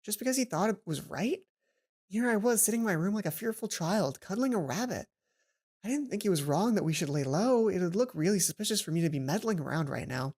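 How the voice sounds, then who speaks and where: A woman hosting a let's play, reading a visual novel aloud and adding chatty, informal, animated asides. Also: medium pitch, fairly quick, husky. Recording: music behind the voice. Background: game music.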